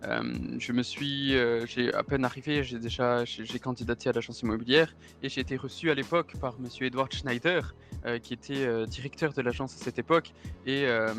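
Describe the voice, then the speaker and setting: A courteous German-accented real estate agent in his thirties, fond of fine cars and nightclubs, as a man plays him. He talks formally, pausing often and slipping in German exclamations. His pitch sits in an ordinary middle range, with soft music faintly underneath. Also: steady tone, close to an everyday voice. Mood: chatty, courteous. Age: 30s. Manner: formal, hesitant, drops German interjections. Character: 33-year-old German man, suave real estate agent and party lover.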